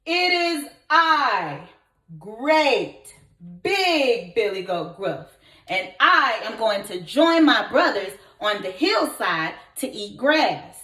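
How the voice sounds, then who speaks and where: Lady doing a big brave billy goat from a kids book, slow n declaring, echoey room. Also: on the high side, sing-song, pushed and bright, heavily acted. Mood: bold, defiant. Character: great big fearless male billy goat.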